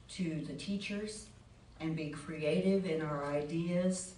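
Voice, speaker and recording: Even-toned woman in an echoey room. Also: deep voice. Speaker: school board candidate. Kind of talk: plain-spoken campaign speech. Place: public forum in a board room. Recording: echoey boardroom from far off, faint noise.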